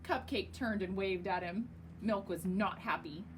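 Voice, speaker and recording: A woman reading a children's story aloud in a very expressive, emphatic narrating style. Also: medium pitch, unhurried, slight background noise, poor audio. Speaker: storyteller reading a picture book.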